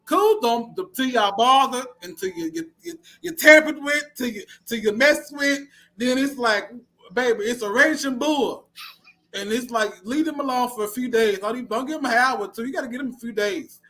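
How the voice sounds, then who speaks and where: High-voiced man chatting as a guest. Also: moderate pace, sharp tone. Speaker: interview guest, hairstylist. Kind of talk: casual conversational interview.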